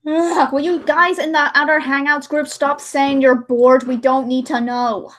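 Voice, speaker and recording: Woman livestream host, high voice, casual and rambling, slips into acted-out remarks. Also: flat delivery, measured pace, a bit bright. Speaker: livestream host and hobby voice actress.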